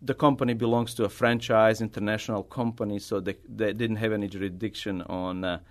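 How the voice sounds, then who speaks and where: A middle-aged man from Bosnia with a Bosnian accent, a native Bosnian speaker answering interview questions as an artist in halting, non-native English. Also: on the low side, fairly even intonation. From Bosnia, former Yugoslavia. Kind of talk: conversational interview answers, personal storytelling.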